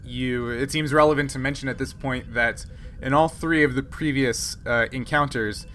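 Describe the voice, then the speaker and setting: Game master, male, bright medium-pitched voice, halting explanatory delivery for tabletop play, slight background noise. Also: fairly even intonation.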